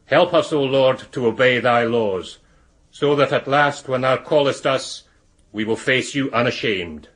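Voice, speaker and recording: A man's voice: medium pitch, measured pace, fairly bright tone, close to an everyday voice. An actor playing a stern, pious, domineering Victorian father in a radio drama, solemn and commanding. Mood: solemn prayer.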